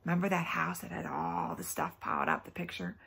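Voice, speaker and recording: A woman teacher reads a children's book aloud expressively, pausing often for emphasis and to put questions to young listeners. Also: low-pitched, very animated, vocal fry, a bit bright, husky.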